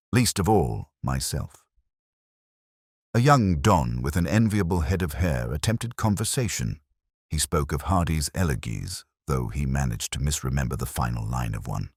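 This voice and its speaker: A man narrating a literary detective story in the first person, in a measured, solemn and reflective manner. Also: very deep voice, slightly rough, no room sound, studio quality.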